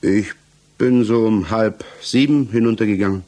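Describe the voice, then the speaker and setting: An actor playing a dejected, cornered husband under interrogation in a 1960s German radio play, speaking hesitantly. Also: male voice, lowish voice, dark tone, natural voice. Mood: subdued, halting. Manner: halting, hesitant, subdued. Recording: mono 1960s radio recording.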